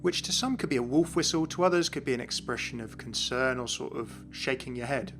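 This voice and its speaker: A man's voice: medium pitch, a little fast, over loud music. A man giving an explanatory voice-over as the host of a game lore series.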